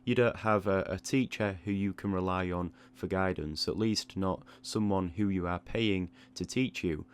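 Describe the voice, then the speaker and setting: Low-voiced man giving reflective advice. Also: moderate pace, few pauses, clear, resonant tone. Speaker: online art channel creator. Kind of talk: reflective advice monologue, audio log.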